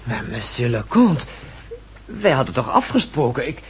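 An actor playing a flustered, cowardly French chevalier in a period radio drama, stammering nervously and deferentially. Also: male voice, medium pitch, big pitch swings, normal speed, slightly rough. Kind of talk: radio drama acting in period costume piece. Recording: noticeable background noise on recording.

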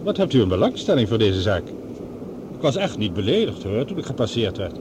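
Professor, radio play, reserved, dry courtesy, very varied intonation, loud background noise. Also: male voice, very animated, fairly quick. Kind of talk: Dutch radio play acting. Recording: radio drama with loud cabin rumble.

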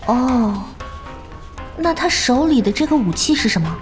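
A woman's voice: fairly high pitch, sing-song, a little slow, faint music behind. An actress playing an earnest, competitive young woman, direct and composed, in an audio drama. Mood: curious.

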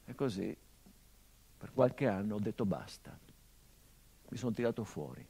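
A middle-aged actor playing the grieving son of a murdered journalist in a theatrical monologue, brooding and bitter, moving between hushed and impassioned delivery. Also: male voice, fairly low pitch, big pitch swings, moderate pace, creaky, breathy edge, full character voice. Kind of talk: theatrical monologue broadcast on radio.

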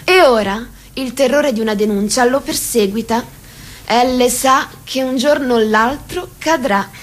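A woman performing as a formal narrator in a stage play, precise and deliberate as she lists and describes. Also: fairly high pitch. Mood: measured, ominous. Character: formal female narrator cataloguing a party hierarchy. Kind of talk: theatrical scripted narration.

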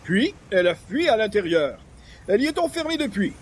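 A man's voice: sits high, sing-song, a little fast, breathy edge, heavily acted. A man playing a precise, puzzled comic busybody, articulate and theatrical, in a radio comedy. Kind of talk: old-time radio comedy acting.

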